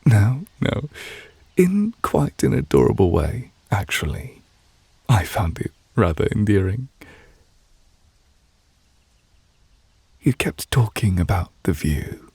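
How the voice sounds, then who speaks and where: A man playing a gentle, refined, possessive witch in love, speaking breathy and hushed in an intimate ASMR romance roleplay. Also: fairly low pitch, no echo, exaggerated voice. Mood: fond, teasing. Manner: gentle, refined, wistful, formal phrasing. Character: soft-spoken, tender, possessive male witch in love.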